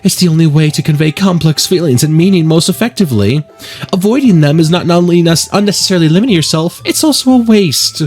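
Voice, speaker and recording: Man as polite teenage girl, bookish, articulate, earnest, higher pitch, game character, faint music. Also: expressive, fast talker, a little mellow. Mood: earnest, defensive.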